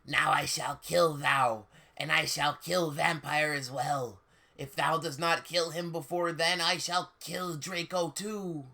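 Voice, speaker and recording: A man voicing a menacing, pompous evil dark lord from a story, with slow, archaic, threatening speech. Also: fairly high pitch, fairly even intonation, gravelly, heavily acted.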